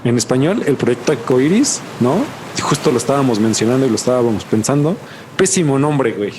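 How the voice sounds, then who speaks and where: A man with a Mexican accent hosting a podcast in casual chat, slangy and animated, repeating words for emphasis. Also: mid-range voice, a little fast, background noise. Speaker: podcast host.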